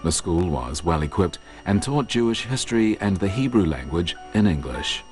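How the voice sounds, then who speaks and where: A man reading scripted documentary narration in a measured, even way. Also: low-pitched. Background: loud music.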